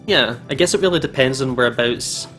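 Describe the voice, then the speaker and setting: A man's voice: mid-range voice, fairly quick, pushed and bright. A man hosting a gaming channel, giving chatty, casual commentary on a game. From Scotland. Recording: faint music behind.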